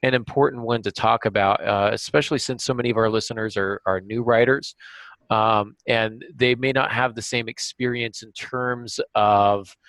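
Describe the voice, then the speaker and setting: A man with a medium-pitched voice hosts a podcast. His delivery is informal and conversational, with a self-deprecating streak. The sound is close and dry, with hardly any room in it. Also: steady tone, normal speed, fairly bright tone, no echo, low-quality recording. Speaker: podcast host. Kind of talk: casual podcast hosting.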